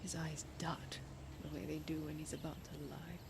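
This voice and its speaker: An actress playing a heartbroken, anxious young woman in an audio drama, speaking intimately and deliberately, as if confessing. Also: low-pitched, flat delivery, noisy background. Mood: hushed, wounded. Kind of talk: audio drama monologue and dialogue.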